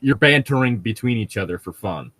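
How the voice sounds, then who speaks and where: A low-pitched man talks casually among friends as a co-host. He rambles through his points with a sardonic, profane edge. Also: lively intonation, a little fast, fairly bright tone, husky. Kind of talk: casual group chat.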